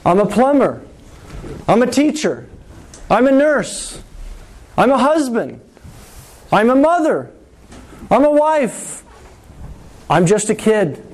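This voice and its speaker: A man preaching a sermon to his congregation, slow and deliberate, with dramatic pauses and repetition. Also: very high-pitched, a little mellow. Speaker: pastor.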